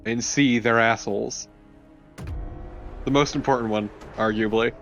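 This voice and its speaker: A young man with a mid-pitched voice banters casually as a co-commentator on a game. He is wry and opinionated, and swears freely. Music plays faintly behind him. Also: fairly even intonation, unhurried, a bit bright, dull audio.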